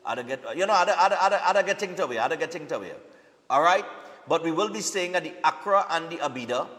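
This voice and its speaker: A man's voice: fairly high pitch, expressive, a little fast, a bit bright, long reverb tail. A man hosting a live morning TV show, chatty and repetitive, often checking in with "all right?".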